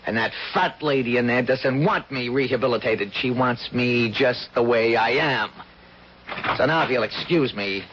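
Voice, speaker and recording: An actor playing a cynical criminal charmer in a radio drama, taunting and insinuating, on a dull, noisy old recording. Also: male voice, medium pitch, moderate pace, a bit bright, close to an everyday voice. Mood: bitter, defiant. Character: smooth, cynical, self-declared bad criminal charmer.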